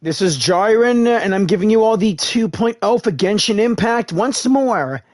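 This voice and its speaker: A man's voice: high voice, expressive, normal speed, darkish tone, no room sound, clean studio sound. A man hosting a gaming video with casual, rambling commentary that often corrects itself.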